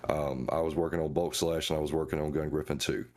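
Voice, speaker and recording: A man's voice: rumbling low, level tone, fast talker, a little mellow. A man chatting casually on a panel as a guest creator, folksy, effusive and emphatic.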